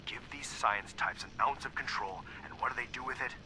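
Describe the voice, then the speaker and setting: An actor playing a gruff, cynical, weary security captain in a video game audio log, blunt, sardonic and folksy. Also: male voice, medium pitch, a little fast, bright, forceful tone, a little hiss or hum, rough recording. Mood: grim, weary.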